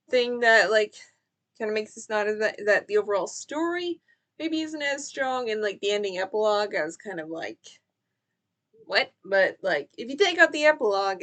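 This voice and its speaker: A woman's voice: medium pitch, fairly bright tone. A young woman chatting casually through a book tag, rambling with many fillers and restarts. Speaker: book vlogger.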